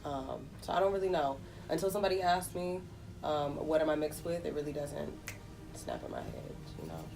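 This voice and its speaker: A woman in her twenties speaking candidly as an interview guest, reflective and halting, with casual swearing. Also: deep voice, very animated, gravelly, distant mic, background noise. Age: 20s. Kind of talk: candid personal conversation. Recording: off-mic voice under prominent music. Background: music.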